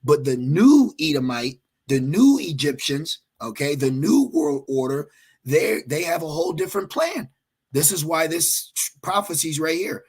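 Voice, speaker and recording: A man's voice: a little high, lively intonation, measured pace, breathy edge. A man preaching in an emphatic, repetitive way, with frequent fillers and checks like "okay?". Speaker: religious teacher. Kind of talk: preaching commentary.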